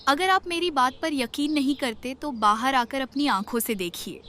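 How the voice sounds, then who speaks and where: Woman acting sharp high-pitched shrewish wife. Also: normal speed. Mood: amazed. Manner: sharp-tongued, nagging. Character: shrewish, greedy, scolding village wife. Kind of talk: animated moral story acting.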